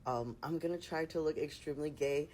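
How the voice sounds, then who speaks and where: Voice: medium pitch, brisk, a little mellow. A speaker introducing themself in a short social media clip, playful and cheerful.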